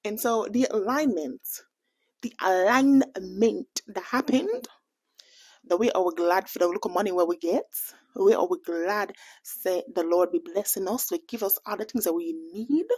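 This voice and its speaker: An American woman chatting casually in a budgeting vlog, animated and playful, laughing often and dropping into a Jamaican accent for bits. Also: medium pitch, a little slow, husky. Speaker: budgeting vlogger.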